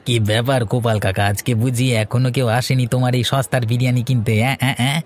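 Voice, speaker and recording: A man's voice: mid-range voice, flat delivery, rapid-fire, a faint crowd, natural voice. A man playing an arrogant, mocking shop owner in a moral-tale cartoon, with a scoffing, boastful manner.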